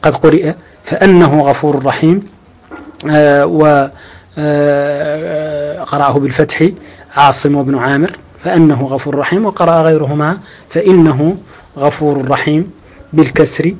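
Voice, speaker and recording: Man slowly lecturing on grammar. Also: a little high, steady tone. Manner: explains slowly with many drawn-out fillers and restarts; quotes verse and scripture in a measured recital tone. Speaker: Arabic grammar teacher. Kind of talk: lecture explaining a grammar text.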